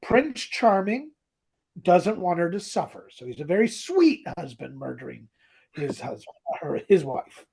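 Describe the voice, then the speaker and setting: A man co-hosting a podcast, casually retelling a story in an animated way. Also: sits high, a little slow, vocal fry, a little hiss or hum. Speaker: podcast co-host. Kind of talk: casual chat, retelling a radio drama.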